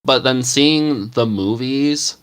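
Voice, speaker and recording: A man's voice: mid-range voice, fairly bright tone, clean tone. A man on a podcast panel who writes ASMR scripts, talking in an easygoing, agreeable way. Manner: easygoing, quick to agree, chatty. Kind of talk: casual chat.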